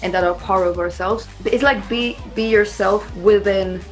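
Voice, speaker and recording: A woman talks casually as a musician in an interview. Her pitch is mid-range and her delivery is loose and chatty, with faint music behind her. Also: steady tone, moderate pace. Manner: informal, loosely strung, chatty. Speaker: band singer and songwriter being interviewed. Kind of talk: casual chat.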